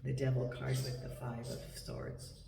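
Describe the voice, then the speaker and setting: A man with a cold gives a chatty, meandering tarot reading at home, his voice croaky. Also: mid-range voice, sing-song, a little slow, dark tone, distant mic. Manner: chatty, meandering, with many ums. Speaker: tarot reader. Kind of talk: casual tarot reading to camera. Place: home in Portugal. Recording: echoey room, voice set back from the mic, faint hiss.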